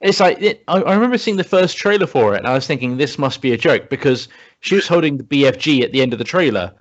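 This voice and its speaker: A man's voice: mid-range voice, animated, fast talker, highs cut off. A man chatting casually with friends as a podcast co-host. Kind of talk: casual group chat about films.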